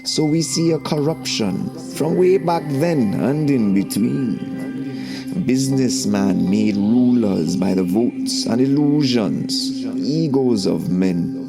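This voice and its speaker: A man narrating a scripted spiritual lesson in a deliberate, declamatory, solemn way, like a preaching spoken-word teacher. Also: mid-range voice, lively intonation, creaky. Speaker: narrator and host of a spiritual story series. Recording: voice over background music. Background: music.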